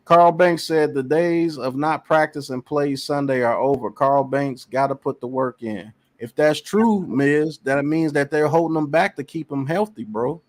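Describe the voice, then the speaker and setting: A man's voice: a little high, unhurried, few pauses. A man hosting a casual sports podcast, reading out chat comments and arguing his points emphatically. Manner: animated, emphatic, repeats phrases. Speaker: podcast host.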